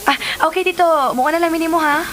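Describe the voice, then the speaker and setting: A woman's voice: extremely high pitch, quick pace, a bit bright. An actress playing a breezy, teasing young Filipino woman in a radio drama, chirpy and polite to her elders.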